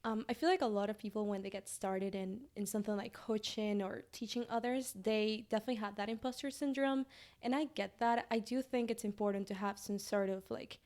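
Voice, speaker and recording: A woman's voice: on the high side, darkish tone. A woman in her twenties, originally from Venezuela, telling her story as a podcast guest in an earnest way, with frequent fillers. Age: 20s. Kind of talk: casual interview.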